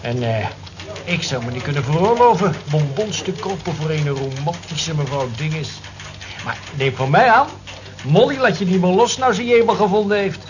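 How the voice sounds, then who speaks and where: An actor playing a nosy, teasing office colleague in a Dutch radio drama, bantering and prodding. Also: male voice, on the high side, moderate pace, close to an everyday voice. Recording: dull lo-fi old radio recording, clear noise.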